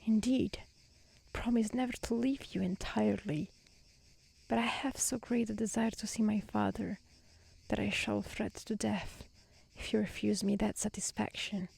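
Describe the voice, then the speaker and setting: A woman voicing a sincere, tender-hearted young maiden in a soft-spoken, earnest storybook reading. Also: mid-range voice, fairly even intonation, measured pace, gravelly, husky, exaggerated voice. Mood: tearful pleading. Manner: earnest, pleading, polite.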